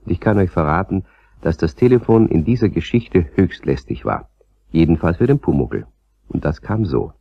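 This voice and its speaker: A man's voice: low voice, lively intonation, moderate pace, gravelly, breathy edge, no room sound, lo-fi sound, exaggerated voice. A man narrating a children's radio play as a scripted storyteller.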